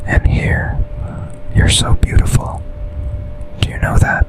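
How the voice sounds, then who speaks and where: A man playing a smitten, commanding yet tender boss and secret lover in an intimate ASMR roleplay, speaking in a breathy, seductive whisper. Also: close to an everyday voice, low-quality recording. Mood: tender, longing. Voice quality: breathy, almost entirely unvoiced whisper. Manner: slow, deliberate, seductive, intimate.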